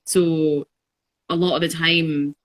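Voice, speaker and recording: A woman in her twenties talking in a relaxed podcast conversation, thoughtful and reflective, with many pauses and fillers. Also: low-pitched. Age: 20s. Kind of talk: casual conversation.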